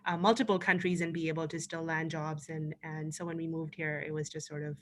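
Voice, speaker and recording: Bright-voiced woman from Pakistan. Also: low-pitched, fairly quick. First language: Urdu. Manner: animated, reflective, with fillers. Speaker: panelist. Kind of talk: panel discussion over a video call.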